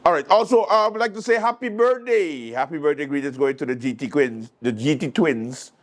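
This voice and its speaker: A man's voice: a little high, a little fast. A man with a Caribbean accent hosting a late-night show, giving casual news commentary and acting out quotes in a storytelling way.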